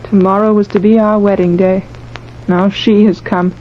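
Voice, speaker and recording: A sorrowful, brave young bride played by an actress speaks with wistful restraint and quiet melodrama. The old radio recording is muffled, with faint noise. She sounds resigned to her fate. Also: mid-range voice, little pitch movement, normal speed, close to an everyday voice. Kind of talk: old-time radio horror drama acting.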